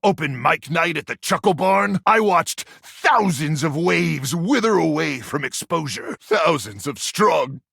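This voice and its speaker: A man voicing a gruff, war-weary sci-fi soldier in a video game, melodramatic and intense, with deadpan absurd asides. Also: fairly high pitch, a little slow, hardly any pauses, no room sound, studio quality, exaggerated voice. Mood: indignant. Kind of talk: video game character acting.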